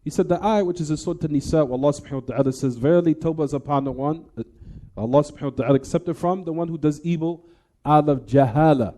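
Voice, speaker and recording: A man delivers a religious lecture at a rapid pace in a soft-edged, relaxed voice that sits a little high. He explains a text point by point, in a teacherly way, often turning statements into rhetorical questions. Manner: didactic, poses rhetorical what-questions to listeners.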